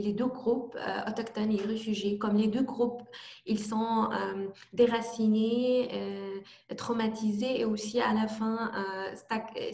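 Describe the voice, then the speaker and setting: A woman's voice: medium pitch, a little mellow. Hesitant woman on an echoey video call. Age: young adult. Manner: hesitant, many fillers and restarts. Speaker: youth forum participant presenting her project. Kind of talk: halting presentation and answers. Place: home, remote online event.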